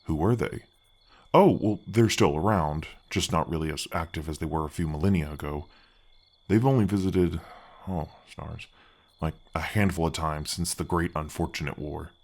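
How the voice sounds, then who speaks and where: Gargoyle guardian played by a man, ancient but gentle: very low, effect-deepened voice, friendly, reassuring, slightly awkward fantasy ASMR delivery. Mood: chatty, explaining. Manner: halting, friendly, reassuring, chatty. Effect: deep voice effect.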